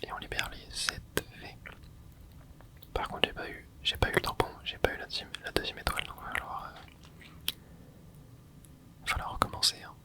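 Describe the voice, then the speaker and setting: A host whispering casual ASMR commentary over gameplay, reacting excitedly and chatting to viewers. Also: moderate pace, long pauses. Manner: casual, chatty, self-correcting. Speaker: channel host.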